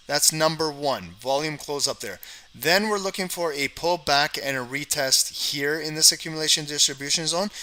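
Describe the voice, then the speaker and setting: A man's voice: on the high side, sharp tone, low-quality recording. A man from Canada hosting a live trading stream, chatting casually, talking to his viewers in the chat and tagging lines with "okay" and "guys.". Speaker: trading livestream host.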